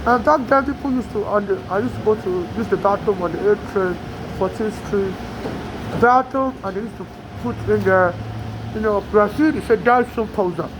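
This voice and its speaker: A woman's voice: medium pitch, moderate pace, background noise. Aggrieved woman with a Nigerian-tinged accent. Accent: Nigerian-tinged American English. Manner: rambling, aggrieved, with frequent restarts. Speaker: immigrant telling her story. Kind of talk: personal monologue to camera.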